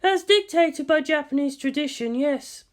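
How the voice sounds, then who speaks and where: A cool senior woman scientist acted by a female reader, high in pitch and measured in delivery. She lectures dryly, like a world-weary anime mentor guiding her junior. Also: close to an everyday voice. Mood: measured appraisal. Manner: measured, didactic, dry. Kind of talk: anime fan fiction character voice.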